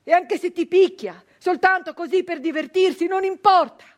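Anguished high-voiced peasant brigand woman. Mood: bitter, indignant. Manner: emphatic, insistent repetitions and dramatic pauses. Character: anguished, defiant 19th-century Italian peasant brigand woman. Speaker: stage actress performing a monologue. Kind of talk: dramatic theatrical monologue.

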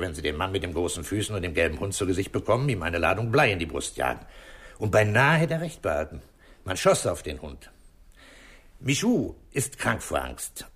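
An actor playing a methodical, calm police commissioner in a 1960s German radio crime drama, deliberate and authoritative as he explains. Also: on the low side.

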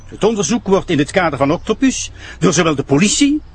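Lively, authoritative prosecutor, highish voice. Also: male voice, fast, slight background noise. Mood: firm. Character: brisk, authoritative male public prosecutor. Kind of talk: radio crime drama acting.

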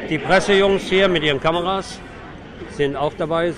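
A man's voice: on the high side, moderate pace. A man reporting live for radio from outdoors, giving a spontaneous running commentary as the show's host. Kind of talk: live on-location radio reportage from a street protest. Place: outdoors on a city square at a protest. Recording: noisy outdoor street recording amid a crowd. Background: loud crowd, street and vehicle noise.

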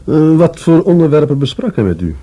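An actor playing a matter-of-fact police detective in a radio play, formal, blunt and factual. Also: male voice, a little high, big pitch swings, fairly quick, mellow, breathy edge. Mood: probing. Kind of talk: Dutch radio play acting.

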